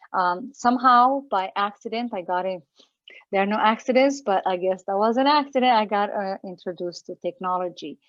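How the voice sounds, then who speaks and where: A woman's voice: mid-range voice, no echo, dull audio. A woman from Romania in her forties giving an earnest personal talk as a guest speaker at an online event, with many fillers and restarts.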